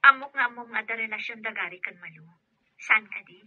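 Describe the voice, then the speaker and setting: A woman's voice: on the high side, brisk, breathy edge, no room sound. An actress playing a suspicious, determined wronged wife in a radio drama, pressing and accusatory as she talks. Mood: accusing. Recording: dull telephone-like lo-fi sound.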